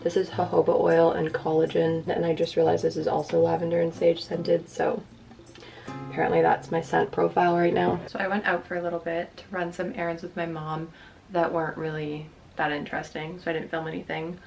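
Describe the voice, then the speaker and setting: A young woman with a raspy voice, rambling casually and self-deprecatingly to the camera as a lifestyle vlogger. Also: on the low side, flat delivery, fairly quick, a little mellow, soft background music.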